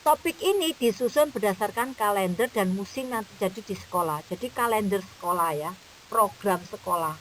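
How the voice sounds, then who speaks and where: A woman's voice: mid-range voice, animated, normal speed, a bit bright, rough recording. A woman who teaches kindergarten, patiently explaining a curriculum to fellow teachers. She repeats her points and keeps checking that they follow. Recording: faint hiss.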